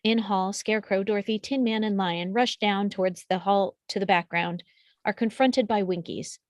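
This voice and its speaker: A woman's voice: mid-range voice, steady tone, normal speed, no room sound, clean studio sound. A woman reading out screenplay action descriptions as scripted narration. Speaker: stage directions reader.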